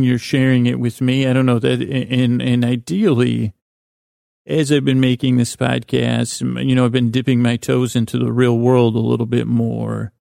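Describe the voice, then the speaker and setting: A man with a creaky, middle-pitched voice murmurs a meandering bedtime monologue in soothing tones. His delivery stays very flat, with halting restarts and sentences that drift off. The sound is close and dry, as a podcast host speaking straight to the listener. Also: no room sound. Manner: halting, meandering, with stammered false starts and trailing thoughts.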